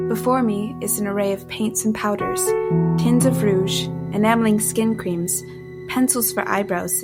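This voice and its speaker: A woman's voice: on the high side, exaggerated voice. An actress playing an earnest, troubled young woman in a period audio drama, with careful, formal, literary phrasing. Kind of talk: dramatic first-person narration and period drama acting.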